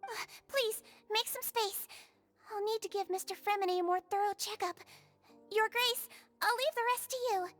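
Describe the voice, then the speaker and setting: Tiny girlish game nurse, very high voice, earnest, polite, caring, faint music behind. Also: normal speed. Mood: concerned, brisk. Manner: polite, gently scolding, clinical. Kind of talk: video game voice acting. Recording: music under the voice.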